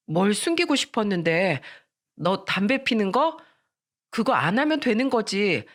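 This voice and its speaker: A woman's voice: medium pitch, very animated, measured pace. A woman voicing a teenage friend in a dramatized story who plays peacemaker, placating but blunt. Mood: calming, chiding.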